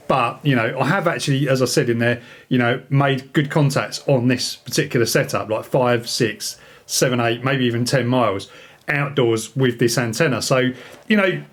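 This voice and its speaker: A man hosting a hobby video in an informal, rambling, enthusiastic way, chatting casually to his viewers. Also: medium pitch. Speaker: video host, radio hobbyist. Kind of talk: casual chat to camera.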